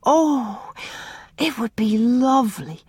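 A woman's voice: on the high side, a little slow, darkish tone, slightly rough, no echo, studio quality, pitched above the performer's own voice. A woman voicing an earnest, timid young girl who pleads in a breathless, eager way. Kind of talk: audiobook character reading.

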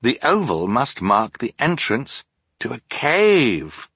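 A man's voice: medium pitch, very animated, slow, a bit bright, husky, no echo, clean studio sound, voice pushed up, exaggerated voice. A man doing a level-headed teenage girl. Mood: calm.

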